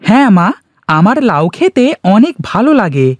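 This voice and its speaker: A man's voice: sits high, sing-song, moderate pace, dry recording, faint music behind, voice pushed up. A man voicing an eager, boastful little village boy in a cartoon story. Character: excitable little village boy who adores gourds.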